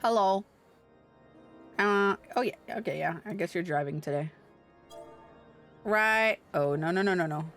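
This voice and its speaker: A woman's voice: on the low side, measured pace, long pauses, fairly bright tone, soft background music. A woman livestreaming a game with casual, sweary, muttered commentary. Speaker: livestreamer playing an online fantasy game. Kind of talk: casual gaming commentary and reading game dialogue aloud.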